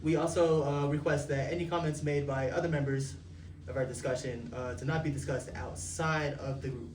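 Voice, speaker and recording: A man's voice: on the high side, fairly even intonation, moderate pace, a little mellow. Young male moderator, hesitant delivery, recorded from across an echoey room with noisy background. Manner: hesitant, many filler ums and uhs. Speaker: focus group moderator. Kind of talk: reading focus group guidelines. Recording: roomy, distant mic, noisy ambience.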